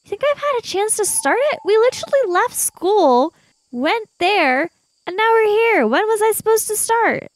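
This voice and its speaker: A woman's voice: very high-pitched, very animated, normal speed, no echo, pitched above the performer's own voice. A woman playing a bookish, argumentative schoolgirl in an improvised tabletop adventure, wordy and pedantic. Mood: indignant. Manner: pedantic, wordy, indignant when teased.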